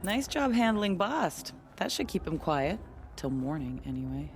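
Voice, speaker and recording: Very low-voiced young huntress. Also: female voice, sing-song, unhurried. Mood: wry, confident. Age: young adult. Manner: wry, self-assured. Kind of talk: video game cutscene acting.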